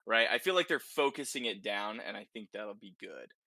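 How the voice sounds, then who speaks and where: A man's voice: fairly low pitch, animated, moderate pace, pushed and bright, dry recording, studio quality. A man hosting a casual, conversational podcast discussion about video games, often checking in with short tag questions. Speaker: podcast host.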